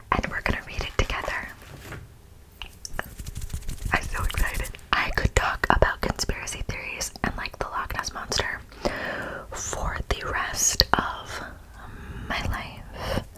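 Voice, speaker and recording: Slow whispering woman ASMR host. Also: rough recording. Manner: casual, excited, repeats words slowly. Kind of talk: ASMR, close whispering to viewers. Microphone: close microphone.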